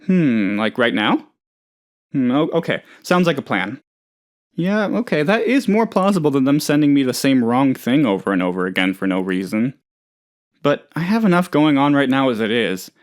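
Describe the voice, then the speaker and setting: Man voicing a naive, excitable oddball boss, swooping pitch. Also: normal speed, lightly acted. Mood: indignant, uneasy. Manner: chatty, rambling, hesitant, earnestly gullible. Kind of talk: video game character dialogue.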